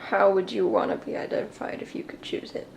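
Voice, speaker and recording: Gravelly-voiced interviewer asking plainly. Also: medium pitch, a little fast. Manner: plain, even questioning. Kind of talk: interview questions. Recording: noisy recording.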